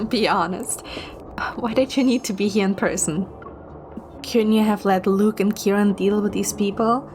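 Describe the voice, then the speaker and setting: A woman's voice: mid-range voice, fairly even intonation, measured pace, pauses often, natural voice. A woman playing a spirited young romance game heroine, wry, playful and direct. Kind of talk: romance game dialogue acting. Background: music.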